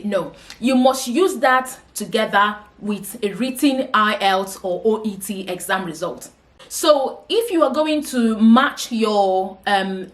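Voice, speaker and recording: Woman, Nigerian accent, patient coaching tone, explains step by step, mid-pitched voice. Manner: patient, advising, checks in with "okay?". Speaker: coach for nurses. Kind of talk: explanatory vlog talk.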